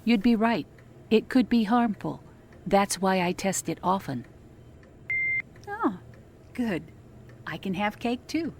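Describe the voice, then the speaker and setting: A woman's voice: mid-range voice, very animated, slow pace, pauses often, darkish tone, a little hiss or hum. A woman playing a practical, hospitable farmer's wife in an audio drama, polite and plain-spoken. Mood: hospitable, matter-of-fact.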